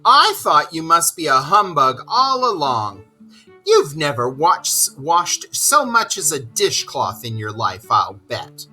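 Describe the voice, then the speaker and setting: A man's voice: on the high side, wildly swooping pitch, slow pace, pushed and bright, soft background music, heavily acted. A man voicing a big, brash, scornful barge woman in a children's storybook read-aloud. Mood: mocking. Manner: exclamatory, scornful.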